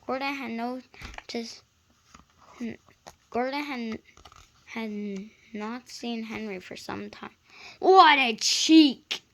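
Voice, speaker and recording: A woman reading a children's story aloud in an expressive style. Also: a little high, slow, vocal fry, pauses often. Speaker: story reader.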